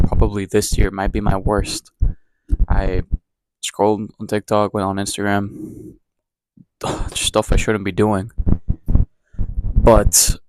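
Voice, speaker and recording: Young man from Ecuador, lowish voice, casual daily vlog, rambling and candid, self-deprecating. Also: no room sound, poor audio. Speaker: vlogger. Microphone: camera mic, talking to camera.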